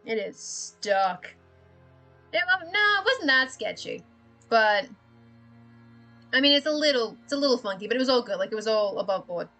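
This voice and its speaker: A woman's voice: on the high side, lively intonation, normal speed, pauses often, bright, forceful tone, faint music behind. A young woman from Australia with a slightly American-drifting Australian accent, chatting casually and rambling with self-deprecating laughs while drawing on a live stream.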